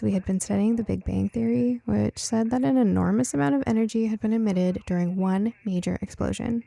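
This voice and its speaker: A woman voicing a curious, science-minded girl who narrates her own story in a conversational, expressive storybook style. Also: medium pitch, vocal fry, no echo, close to an everyday voice. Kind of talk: first-person audiobook narration.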